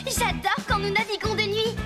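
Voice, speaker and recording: A woman playing a sweet, sensible, curious child pirate in an animated cartoon. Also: extremely high pitch, moderate pace. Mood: gentle. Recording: music behind the voice.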